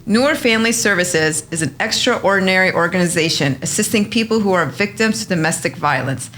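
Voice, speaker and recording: A woman's voice: lowish voice, a bit bright. A woman in her thirties hosting a podcast interview as an immigration attorney, talking in an enthusiastic, welcoming way. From United States. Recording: faint background noise.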